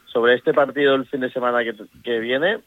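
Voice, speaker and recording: A middle-aged man from Ecuador with an Ecuadorian Spanish accent, a women's football coach speaking in a casual interview, halting and full of restarts. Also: medium pitch, fairly even intonation, no echo. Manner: halting, many restarts and fillers. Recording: tinny phone line.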